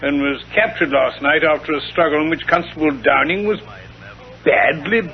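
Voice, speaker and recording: Dull vintage radio drama: man as middle-aged British sidekick, slightly high voice, genial, bumbling, old-fashioned. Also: moderate pace, lightly acted. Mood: earnest, reading aloud.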